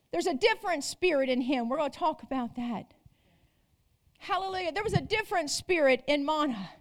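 Very high-voiced woman preaching a sermon. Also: fairly bright tone. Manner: emphatic, repeats phrases, rhetorical questions to congregation.

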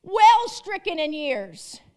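A woman with a very high voice delivers a sermon to her congregation. She is emphatic, repeats her phrases and keeps asking the room rhetorical questions. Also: very animated, slow, dark tone. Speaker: preacher.

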